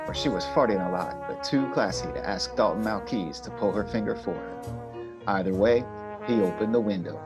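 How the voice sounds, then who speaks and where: A man acts out a hardboiled radio-noir narrator, low-pitched and very unhurried. He tells it deadpan, with a wry, lecherous streak, while brass and guitar music plays behind him. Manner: deliberate, deadpan.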